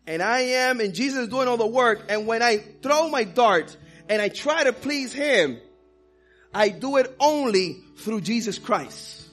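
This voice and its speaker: High-voiced man preaching with passion. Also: animated, unhurried, a bit bright, soft background music. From Dominican Republic. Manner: impassioned preaching with personal stories. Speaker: pastor. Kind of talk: sermon.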